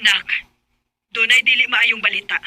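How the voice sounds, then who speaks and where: Sharp, nagging mother-in-law. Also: on the high side, steady tone, moderate pace, no echo. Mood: grave, tattling. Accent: Cebuano Filipino. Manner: complaining, insistent. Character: nagging, meddling older mother-in-law stirring up trouble. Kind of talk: Bisaya radio drama acting.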